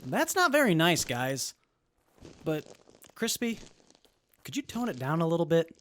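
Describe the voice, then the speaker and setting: A man's voice: on the high side, sing-song, a little slow, fairly bright tone. A man playing a polite, upbeat young traveler who jokes through hardship, in a post-apocalyptic audio drama. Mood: gently scolding.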